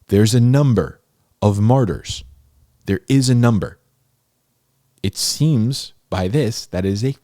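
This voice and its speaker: A man's voice: lowish voice, darkish tone, no echo, clean studio sound. A man giving informal, unscripted Bible teaching in a conversational, emphatic way, repeating key phrases for stress. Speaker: host of a Bible-explainer series, teacher.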